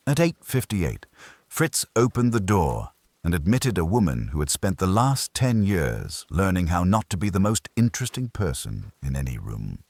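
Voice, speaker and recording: Very deep husky male story narrator. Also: a little mellow, dry recording. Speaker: audiobook narrator. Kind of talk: scripted first-person detective story narration.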